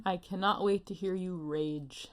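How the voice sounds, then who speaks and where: A woman's voice: lowish voice, steady tone, measured pace. A woman hosting a casual podcast in a playful, giggly, jokey manner. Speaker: podcast host.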